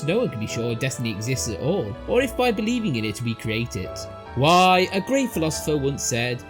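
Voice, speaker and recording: Guy telling a storybook tale all dramatic and mock epic, middle pitch, faint guitar under it. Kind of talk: scripted storybook narration.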